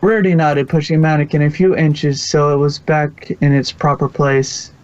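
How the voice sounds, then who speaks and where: A man's voice: a little high, flat delivery, moderate pace, a little mellow. A man reading scripted story narration as an amateur narrator. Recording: dull, lo-fi home recording.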